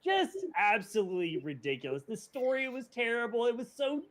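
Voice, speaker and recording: A man's voice: extremely high pitch, sing-song, a little fast, a bit bright. A man co-hosting a podcast, giving blunt opinions in casual chat with emphatic exaggeration. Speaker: podcast co-host.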